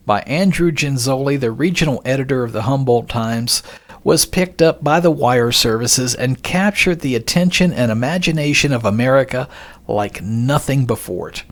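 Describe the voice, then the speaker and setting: Folksy, jokey man hosting a podcast. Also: mid-range voice. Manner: jokey, folksy, conversational, rhetorical questions. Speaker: podcast host. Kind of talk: scripted narration with folksy asides.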